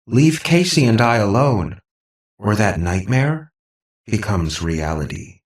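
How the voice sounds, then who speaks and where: A man's voice: lowish voice, animated, slow pace, reverberant, distant mic. A man voicing a cruel, taunting robot girl with a mocking, falsely sweet manner in an audiobook story. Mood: menacing.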